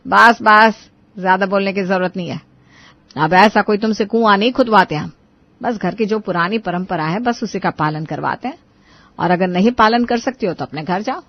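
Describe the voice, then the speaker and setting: A woman's voice: mid-range voice, normal speed, dry recording, natural voice. A woman playing a stern, bossy older Indian mother-in-law who scolds and orders her family in a storytelling voice, on a dull recording. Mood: indignant scolding. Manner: bossy, sharp, commanding.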